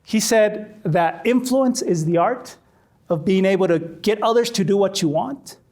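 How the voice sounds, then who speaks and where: A man's voice: high-pitched, unhurried, darkish tone. A man in his forties from Chile, a storytelling trainer giving a conversational workshop talk to a faculty audience, often repeating words as he restarts. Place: classroom with a live audience.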